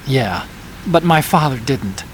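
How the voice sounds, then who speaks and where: A man's voice: mid-range voice, expressive, slow pace, slight background noise, low-quality recording. An actor playing an earnest, guilt-ridden male scientist who explains and confides in a dramatic video game scene. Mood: guilty, confiding.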